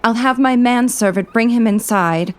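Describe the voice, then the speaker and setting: Woman as high-voiced, imperious Victorian lady. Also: moderate pace, lightly acted. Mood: commanding. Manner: commanding, formal. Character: imperious upper-class Victorian lady, commanding and concerned. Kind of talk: comedy audio drama acting.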